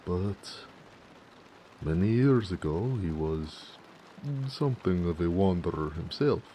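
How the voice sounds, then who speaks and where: A man voicing a gentle, bashful orc blacksmith who speaks hesitantly and affectionately in an intimate romance audio roleplay. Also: low voice, animated, a little hiss or hum. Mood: bashful, chatty. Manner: soft-hearted, hesitant, with pauses and hedging.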